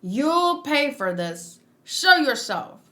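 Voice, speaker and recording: A woman voicing a huge, menacing male pirate warlord from a manga, bellowing drawn-out demands. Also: high voice, very animated, sharp tone, exaggerated voice. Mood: vengeful.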